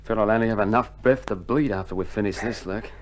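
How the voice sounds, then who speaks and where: An actor playing a cheeky, complaining Australian army private in a radio drama, talking in slangy colloquial style. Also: male voice, fairly low pitch, fast, lightly acted. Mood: griping. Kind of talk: 1960s radio drama acting. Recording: noisy old vinyl radio recording.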